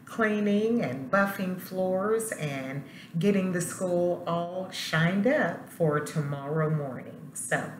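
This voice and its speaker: Woman, low voice, elementary principal, expressive picture-book read-aloud, roomy echo. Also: takes it slowly. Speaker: elementary school principal reading aloud to students. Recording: roomy echo, faint background noise.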